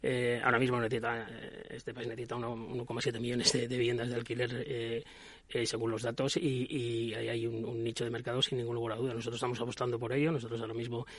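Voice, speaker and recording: A man's voice: medium pitch, completely flat delivery, quick pace, no echo. A man with an accent from Spain answering spontaneously as a business panelist, with hesitant restarts. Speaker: property company executive on a panel.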